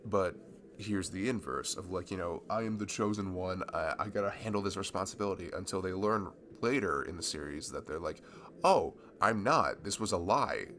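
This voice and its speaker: A man's voice: low voice, normal speed, fairly bright tone. A man playing a shy, nerdy young man in a romantic ASMR roleplay, rambling and stammering toward a longtime friend. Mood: giddy, nerdy. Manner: rambling, hesitant, stammering, nervous laughs. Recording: clearly audible background noise.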